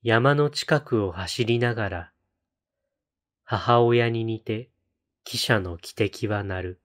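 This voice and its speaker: A man reciting poetry in a solemn style, with measured, deliberate phrasing and pauses between lines. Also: on the low side, a bit bright. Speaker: poetry reader on a read-aloud channel.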